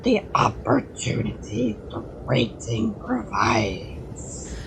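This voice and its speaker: A woman playing an evil empress's sinister pet servant in a fantasy audio drama, with a whispery, creaky voice. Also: a bass voice, huge pitch swings, very slow, heavily acted, poor audio. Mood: sinister, eager.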